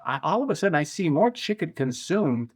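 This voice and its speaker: A man's voice: a little high, very animated, fairly quick. An older American man telling an anecdote casually in an interview. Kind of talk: casual storytelling.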